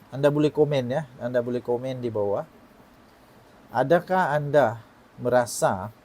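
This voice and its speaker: A man's voice: medium pitch, darkish tone. A man in his forties with a Malaysian Malay accent, giving online political commentary and putting questions to his viewers. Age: 40s. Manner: emphatic, poses rhetorical questions to viewers.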